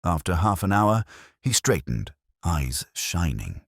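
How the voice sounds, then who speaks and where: A man narrating a detective story in a measured, literary manner, as for an audiobook. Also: sits low, very animated, creaky, dry recording, studio quality.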